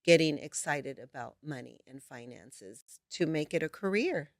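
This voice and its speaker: A woman's voice: deep voice, animated, normal speed, creaky, no echo, studio quality. A woman hosting an interview, chatty and affirming toward her guest. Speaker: podcast host. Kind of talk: casual interview talk.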